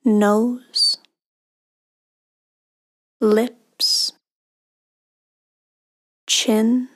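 A woman leading a guided meditation in a hushed, breathy, soothing delivery with long pauses between phrases. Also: fairly high pitch, little pitch movement. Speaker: meditation guide, podcast host.

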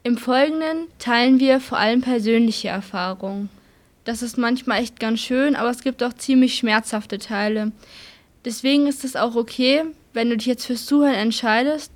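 A woman reciting scripted lines in an audio play, gentle and reassuring. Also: on the high side.

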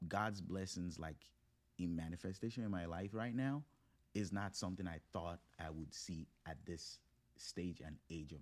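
A man in his thirties speaking as a podcast guest in a casual, motivational conversation, earnestly building his points in short phrases. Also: low voice.

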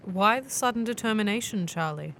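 Woman doing calm serious fantasy traveler, mid pitch, talks kinda formal and careful, audio drama. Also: slight background noise. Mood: calm, gently teasing. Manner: measured, formal phrasing without contractions.

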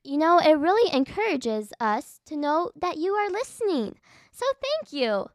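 A female host speaks in a high register on a children's podcast. Her delivery is scripted, moving between chat and narration. The recording is dry. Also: very animated, moderate pace, no room sound.

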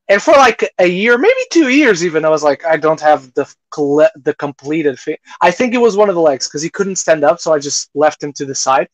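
A man's voice: on the high side, no echo, rough recording. A man hosting a podcast in a casual, conversational chat style. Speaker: podcast host.

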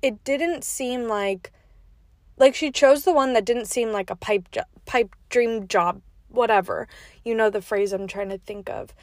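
A young woman with a slightly high, stuffy, nasal voice from a cold rambles through a casual story, sprinkling in "like" and little laughs. She records alone in her car, and the sound is close and dry with no room echo. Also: a little slow. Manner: rambling, full of "like", laughs between asides. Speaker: podcast host. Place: inside a parked car.

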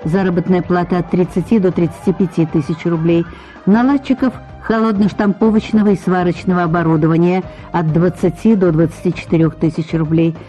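A woman's voice: fairly low pitch, fairly even intonation, a little fast, warm tone, faint music behind, rough recording. A woman radio announcer reading a scripted job advertisement.

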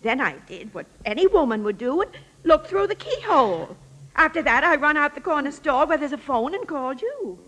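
A woman's voice: high-pitched, big pitch swings, fairly quick, fairly bright tone, slight background noise, poor audio. An actress playing a garrulous, nosy old landlady, folksy and easily indignant, in 1940s radio style. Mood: fretful, recounting. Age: older. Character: chatty, nosy, lonesome old landlady, stubborn and flatterable.

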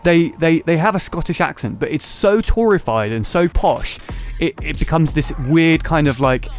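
A man's voice: fairly high pitch, lively intonation, moderate pace, soft background music. A man hosting a casual political podcast, sarcastic and mocking. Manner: sarcastic, mocking voices of others. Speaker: podcast host.

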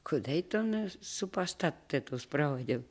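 A woman's voice: deep voice, lively intonation, normal speed, a little mellow. An actress playing a doting, scolding old grandmother in a radio play, with rustic speech and a folksy, fussing manner. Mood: grumbling, relenting.

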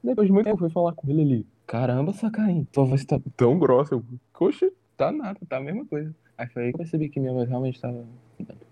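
A young man, a podcast guest chatting casually, easygoing and quick to laugh along. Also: on the high side, very animated, breathy edge, dry recording, highs cut off. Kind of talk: casual chat. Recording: faulty mic, thin sound.

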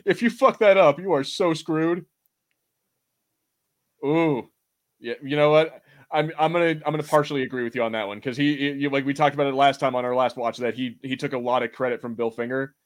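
Lower-voiced casual man bantering about films. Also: steady tone, fairly quick. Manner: slangy, profane, opinionated. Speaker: podcast co-host. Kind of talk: casual watch-party banter about a film.

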